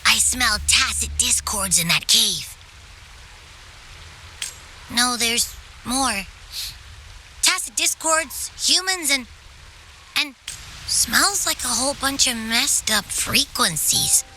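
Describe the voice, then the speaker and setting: Small proud game creature, piercingly bright, swooping intonation, bossy, boastful, dramatic. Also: female voice, fairly high pitch, lively intonation, slow, long pauses, a little hiss or hum, full character voice, poor audio. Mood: suspicious, urgent. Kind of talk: video game voice acting.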